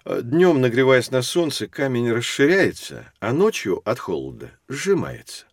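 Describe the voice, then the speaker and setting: An actor playing a lively, patient professor who explains things expressively to children in an educational audio drama. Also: male voice, fairly low pitch, no room sound, clean studio sound.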